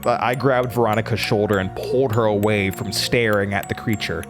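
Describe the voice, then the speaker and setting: A man's voice: fairly low pitch, steady tone, brisk, natural voice. A man playing a shaken young college student, narrating a horror audio drama in a dramatic, haunted way that stammers under stress. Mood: dread. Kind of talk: horror audio drama first-person narration, acting out dialogue. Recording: music bed under the voice. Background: music.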